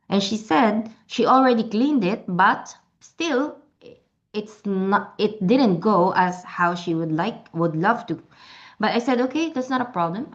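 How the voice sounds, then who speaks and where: A woman whose first language is Tagalog talks through a product demo in a chatty, meandering way, often restarting her sentences. Her pitch sits in the middle range. The recording sounds a little dull and lo-fi. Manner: chatty, many restarts and fillers. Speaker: product seller. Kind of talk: product demonstration.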